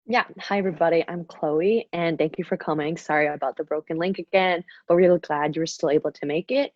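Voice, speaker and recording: A woman's voice: deep voice, steady tone, quick pace, no room sound. A young woman from Shanghai hosting a student webinar in a chatty, casual way, with plenty of fillers. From Shanghai, China. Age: young adult. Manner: chatty, many fillers and "kind of". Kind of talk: casual presentation. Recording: dull, dry video call audio.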